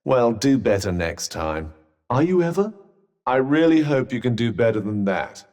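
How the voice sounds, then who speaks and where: A man's voice: mid-range voice, sing-song, normal speed, darkish tone, big-room sound, natural voice. A man with a British accent voicing a haughty, sardonic magical cuff companion in a video game, with pompous, droll, formal delivery. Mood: withering, critical.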